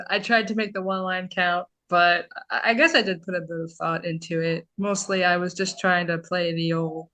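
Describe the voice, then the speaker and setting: A woman's voice: lowish voice, a bit bright, low-quality recording. A woman voice actor as an interview guest, telling things informally and a little self-deprecatingly. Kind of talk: casual interview chat.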